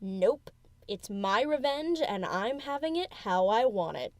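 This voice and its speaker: A cocky DJ mare played by a woman, brash and always teasing. Her mid-pitched voice bounces around freely, casual and slangy, recorded close and dry for a cartoon pony audio drama. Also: big pitch swings, unhurried, a little mellow, no echo. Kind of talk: fan audio drama character acting.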